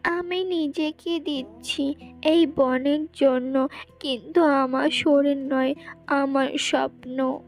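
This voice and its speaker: A woman's voice: high-pitched, steady tone, takes it slowly, soft background music. A woman voicing earnest young girls and fairies of a magic forest in a cartoon fairy tale. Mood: earnest, pleading. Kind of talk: animated fairy-tale dialogue.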